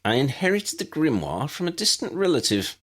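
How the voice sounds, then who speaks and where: Man putting on a high-pitched haughty villainous witch. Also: big pitch swings, brisk. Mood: defensive. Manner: haughty, sneering, snappish. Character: imperious, impatient, scornful villainous young witch. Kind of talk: fan-fiction audiobook character voice.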